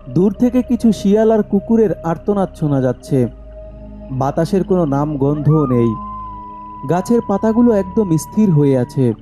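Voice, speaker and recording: A man's voice: a little high, dark tone. A man narrating a scripted horror story as its storyteller.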